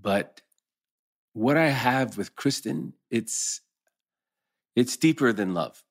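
A man's voice: mid-range voice, expressive, takes it slowly. A man playing an earnest middle-aged husband in a dramatic table read, sounding natural and conversational. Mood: earnest, regretful. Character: earnest middle-aged husband torn over old flame.

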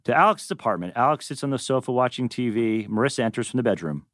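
A man narrates screenplay directions at a table read. His medium-pitched voice is highly varied in intonation, and the sound is dry. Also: animated, quick pace, fairly bright tone, dry recording.

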